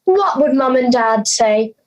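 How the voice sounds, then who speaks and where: Slow, high, anxious schoolgirl played by a young woman. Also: animated, darkish tone. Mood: worried. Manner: hesitant, pleading. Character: anxious, timid schoolgirl afraid of being laughed at. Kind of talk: audio drama acting.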